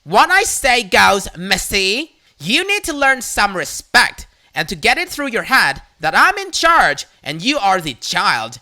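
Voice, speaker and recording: Narrator doing a bossy entitled mom, shrill and sharp, voice jumping all over. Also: big pitch swings, measured pace, exaggerated voice. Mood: angry. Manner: shrill scolding, domineering. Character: loud bossy entitled middle-aged mother.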